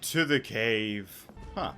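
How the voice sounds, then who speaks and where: A man's voice: fairly low pitch, expressive, very slow. A man doing casual, irreverent gameplay commentary as a streamer, reacting aloud to the game. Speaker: gaming streamer. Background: game music.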